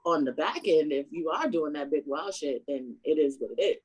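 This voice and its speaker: A woman on a podcast gives her opinions frankly and bluntly in easy, casual talk. Her voice sits a little low for a woman. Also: expressive, moderate pace, darkish tone, husky, dull audio. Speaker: podcast co-host. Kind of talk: casual chat.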